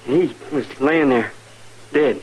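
A man's voice: medium pitch, a little mellow, husky. Agitated young frontier farm boy. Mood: frightened, evasive. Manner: halting, agitated. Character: frightened, sullen, evasive young frontier farmer's son. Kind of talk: 1950s radio western acting. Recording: noisy vintage 1950s radio recording.

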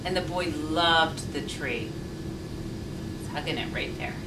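A middle-aged woman reading a children's storybook aloud with deliberate, expressive phrasing. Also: low-pitched. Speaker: volunteer story reader. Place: indoor sitting room with leather sofa. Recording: roomy, distant mic, faint noise.

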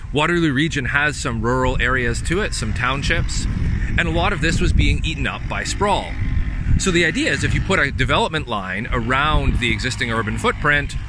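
A man speaks in a measured, explanatory way, pausing now and then to restart a phrase. His voice sits at an ordinary pitch. He is recorded outdoors on a city street, with clear traffic noise behind him. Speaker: urban planning professor. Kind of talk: walking tour explanation.

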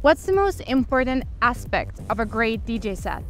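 A woman with a slightly high voice asks brief interview questions. Faint music runs behind her as she moves through them one at a time. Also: very animated, moderate pace. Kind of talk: reading short interview questions.

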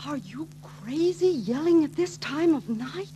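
An actress playing a bossy, fussing wife, prim and chiding, in 1940s film style. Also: female voice, high voice, expressive, measured pace, dark tone. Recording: faintly noisy 1940s film soundtrack.

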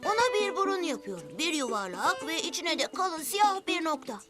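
Very high-pitched cartoon boy helper. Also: big pitch swings, moderate pace. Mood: cheerful, instructive. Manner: clear, simple instructional phrasing. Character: upbeat, helpful young male cartoon drawing teacher. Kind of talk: preschool cartoon dub, step-by-step instruction. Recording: loud music behind the voice.

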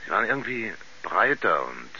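Rural sheriff, low voice, folksy, halting, puzzled testimony, radio drama, faint noise. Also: male voice, sing-song, pushed and bright, exaggerated voice. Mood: puzzled, matter-of-fact. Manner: folksy, halting, thoughtful witness account. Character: plain-spoken rural American sheriff giving testimony. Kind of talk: 1970s German radio play acting. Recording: slightly dull, faintly noisy 1970s radio recording.

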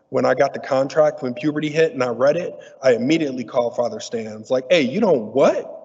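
A middle-aged man from Detroit tells stories from a podium in a large auditorium, playful and animated as he keeps asking the crowd to answer him. His voice rings with a long hall reverb. The recording sounds dull and muffled. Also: medium pitch. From Detroit, USA. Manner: animated, playful, talks to the audience. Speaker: keynote speaker. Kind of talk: storytelling keynote talk.

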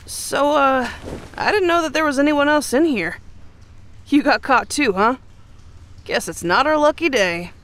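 A woman voicing a spirited, stubborn, kind-hearted young ninja hero in an anime game dub, earnest and expressive. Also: high voice, a little slow, long pauses. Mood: curious, chatty.

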